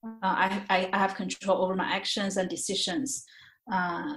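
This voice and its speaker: A woman's voice: medium pitch, little pitch movement, normal speed, fairly bright tone, slight background noise. A woman speaking as an interview guest, reflective and candid, often repeating words and phrases as she searches for the right ones. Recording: roomy, echoey sound.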